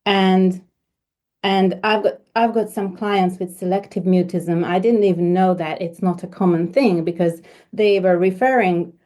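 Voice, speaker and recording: Slow, soft-spoken woman from Hungary. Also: lowish voice, fairly even intonation. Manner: hesitant, many repeated words and fillers. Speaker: homeopath guest. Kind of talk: conversational interview.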